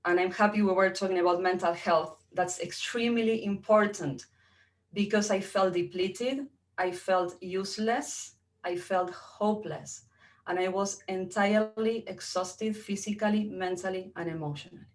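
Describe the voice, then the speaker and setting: A woman's voice: medium pitch, steady tone, moderate pace. A middle-aged woman from Argentina, a native Spanish speaker with an Argentine accent, telling her personal story as a motivational guest speaker. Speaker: guest speaker, entrepreneur and coach.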